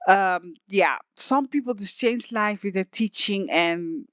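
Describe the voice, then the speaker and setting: Slow rambling woman teacher, slightly raspy. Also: mid-range voice, no echo. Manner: rambling, emotional, many restarts and fillers. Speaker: online music software teacher. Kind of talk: casual screen-share tutorial. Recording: dull lo-fi dry sound.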